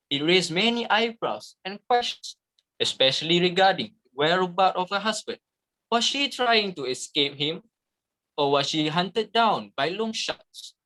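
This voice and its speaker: A man narrating a story as a scripted dramatic reading, with theatrical, deliberate emphasis. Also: a little high, sharp tone, poor audio.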